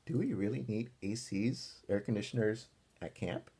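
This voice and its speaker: Male storytime teacher, animated and expressive, husky edge, pauses to put simple questions to little listeners. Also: lowish voice. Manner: expressive, pausing to explain and ask simple questions. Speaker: teacher reading a picture book aloud to young children. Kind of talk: animated read-aloud with questions to young listeners.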